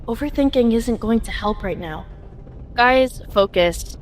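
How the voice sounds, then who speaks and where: A woman's voice: a little high, animated, measured pace, soft background music. Woman acting a worried young female group leader. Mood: firm, commanding. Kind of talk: webtoon fan dub voice acting.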